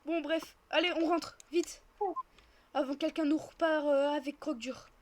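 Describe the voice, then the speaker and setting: High-pitched female gaming host, chatty, improvises roleplay and talks through every move. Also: fairly even intonation, normal speed, a little hiss or hum. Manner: chatty, narrates own actions as they happen. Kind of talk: casual improvised roleplay commentary while playing a game.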